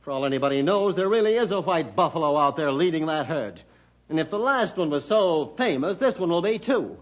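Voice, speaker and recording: An actor playing a surly, cynical schemer with an American accent and a gruff, dismissive manner, in 1960s radio drama style. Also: male voice, on the high side, animated, fairly quick, close to an everyday voice. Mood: defiant. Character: surly, defensive, cynical male schemer in business. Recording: dull vintage radio recording.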